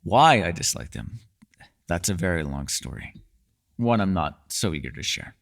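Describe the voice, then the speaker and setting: A man's voice: sits low, lively intonation, moderate pace, vocal fry, a bit bright, full character voice. A man playing a mysterious, erudite elf in a fantasy ASMR roleplay, speaking in a playful, teasing, slightly formal way. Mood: coy, curious.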